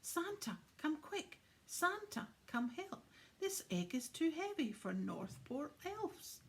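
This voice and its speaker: A woman voicing small, excitable Christmas elves who cry out in short phrases in a children's storybook. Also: sits high, takes it slowly, voice pushed up, full character voice. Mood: panicked. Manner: crying out in short rhyming phrases.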